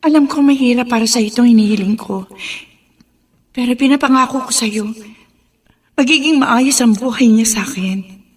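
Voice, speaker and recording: A woman's voice: on the high side, moderate pace, long pauses, slightly rough. A woman playing a tender, possessive widowed adoptive mother in Filipino radio drama, earnest, emotional and pleading. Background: music under the voice.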